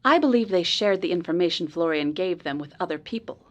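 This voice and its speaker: A woman playing a polite, earnest informant in a noir radio drama, speaking in a measured, precise way. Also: on the low side, expressive, muffled recording, lightly acted. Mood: earnest, serious. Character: polite, earnest female informant helping a detective.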